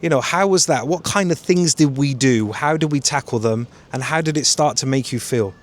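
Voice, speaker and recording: A man's voice: mid-range voice, moderate pace. A man, a coach interviewing his client in a conversational style.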